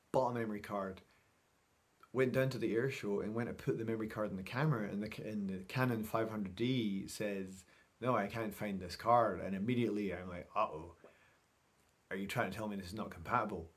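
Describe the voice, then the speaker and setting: A middle-aged man with a fairly low voice recaps things for his vlog. He talks in a chatty, informal way, wandering from one thought to the next as if speaking to friends. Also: fairly quick. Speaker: vlogger. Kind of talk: casual vlog recap to camera.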